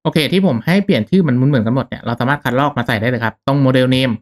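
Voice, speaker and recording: A young man giving a casual, step-by-step software tutorial in an informal, conversational way. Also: mid-range voice, very fast. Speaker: tech tutorial host. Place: indoors at a computer, voice-over.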